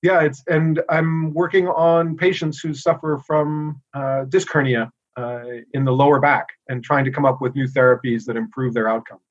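A middle-aged American man speaking as a guest in a casual interview, relaxed and thoughtful with frequent pauses. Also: fairly high pitch, highs cut off. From United States. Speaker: inventor and guest.

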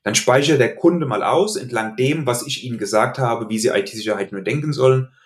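A man's voice: medium pitch, a little fast. Hessian man, earnest podcast guest. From Hesse, Germany. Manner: earnest, explains with examples. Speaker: podcast guest, IT security coach. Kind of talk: casual podcast conversation.

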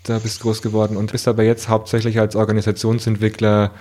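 A man's voice: lowish voice, completely flat delivery, quick pace, dark tone. A man from southern Germany talking casually and conversationally as the host of a podcast interview. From Nuremberg, southern Germany.

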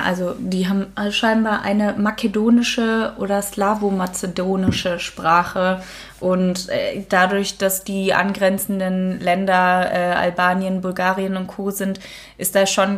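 A woman hosting a true crime podcast, mixing casual chat with many fillers and a read-out case narration. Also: on the low side. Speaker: podcast host.

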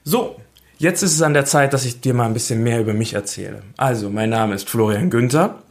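A man's voice: mid-range voice, fairly quick. A young man from Berlin talking casually about himself, with lots of hesitant fillers. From Berlin, Germany. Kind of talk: casual self-introduction.

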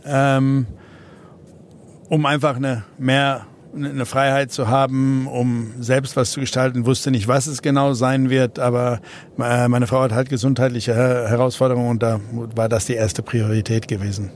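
A man's voice: mid-range voice, little pitch movement, moderate pace, slight background noise. A man from South Africa, an entrepreneur guest in an interview, talking casually with many fillers and restarts.

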